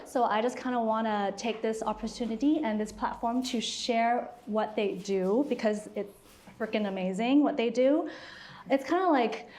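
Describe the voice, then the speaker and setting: A woman's voice: fairly high pitch, normal speed, a little mellow, slight background noise. A woman moderating a live panel discussion, chatty and laughing often, with a self-deprecating manner. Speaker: panel moderator and studio founder.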